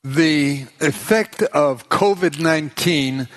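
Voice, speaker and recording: A male preacher speaks in slow, measured phrases, his voice sitting a little high for a man. He pauses after each short line for an interpreter. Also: rough recording. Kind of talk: sermon with live interpreter.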